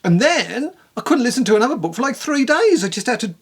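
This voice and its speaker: Creaky-voiced, candid book reviewer. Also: mid-range voice, very animated, fast talker. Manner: candid, rambling, conversational. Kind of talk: casual spoken review to camera.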